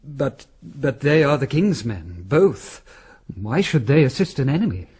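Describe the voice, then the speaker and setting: A man's voice: medium pitch, big pitch swings, unhurried, a little mellow. A man playing an earnest, troubled monk envoy who speaks formally and anxiously in a radio drama. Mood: agitated.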